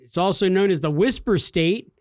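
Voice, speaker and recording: A man's voice: sits high, moderate pace, no room sound, clean studio sound. A man co-hosting a gaming podcast, bantering and spinning absurd jokes with a straight face. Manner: goofy, spins absurd deadpan jokes. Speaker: podcast co-host. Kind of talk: joking casual podcast banter.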